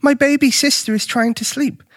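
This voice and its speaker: A man's voice: very high voice, fairly even intonation, moderate pace, a bit bright, dry recording, clean studio sound, voice pushed up. A man voicing a nervous, earnest young boy in a children's story, speaking politely and a little hesitantly. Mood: earnest pleading.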